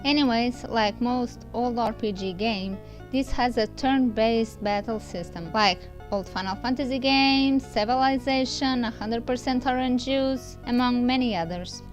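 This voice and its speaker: A woman's voice: a little high, unhurried. A woman reviewer giving a casual, scripted game voice-over, chatting informally and addressing viewers directly. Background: music.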